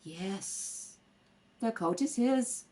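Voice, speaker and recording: Woman playing a halting, lovelorn housemaid. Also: mid-range voice, lively intonation, a little mellow, pitched below the performer's own voice. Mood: guarded, tender. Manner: halting, guarded. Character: flustered, lovelorn, modest housemaid Molly. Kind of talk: 1930s radio comedy acting. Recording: faintly noisy home recording.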